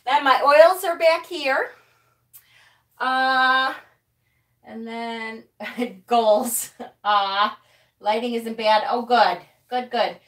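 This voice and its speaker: A woman with a fairly high, sharply bright voice hosts a casual live stream, loud and chatty as she greets her viewers. She stands a few feet back from the camera in a small room at home, so her voice sounds a little distant. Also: very slow, long pauses. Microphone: camera set a few feet away.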